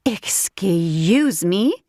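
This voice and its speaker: Narrator doing an entitled, seething woman, pitched high and shrill; dry close read. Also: lively intonation, extremely slow, warm tone, no echo.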